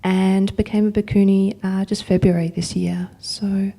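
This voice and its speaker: A Buddhist nun in her forties from Australia introducing herself at a retreat, unhurried and hesitant with many fillers. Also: mid-range voice, flat delivery, gravelly, mellow. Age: 40s.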